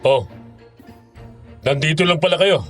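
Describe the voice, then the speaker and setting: A man's voice: a little high, measured pace, close to an everyday voice. An actor playing a monsters' henchman, taunting and obedient, in a horror audio drama. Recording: music under the voice.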